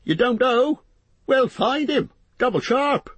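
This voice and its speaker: A man's voice: very high-pitched, animated, slow, husky, no echo, voice pushed up, exaggerated voice. A man voicing a fat, harried, talkative innkeeper in an audiobook, chattering breathlessly in rustic, folksy phrases. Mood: impatient, shouting. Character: fat, bustling, harried, talkative middle-aged male innkeeper. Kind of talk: audiobook character voice.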